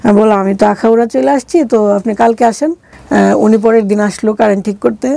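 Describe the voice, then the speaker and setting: A woman's voice: a little high, fairly even intonation, a little fast, creaky, warm tone, dry recording. A middle-aged woman with a Bangladeshi accent telling a personal story conversationally as an interview guest, speaking colloquially and acting out quoted dialogue.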